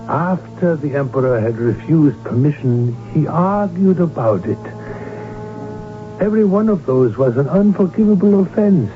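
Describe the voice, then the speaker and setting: An actor playing a sober, concerned royal physician who tells the tale in a radio drama, measured and formal. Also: male voice, mid-range voice. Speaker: narrator and physician character. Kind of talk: 1970s radio drama narration and acting. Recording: tinny old radio recording.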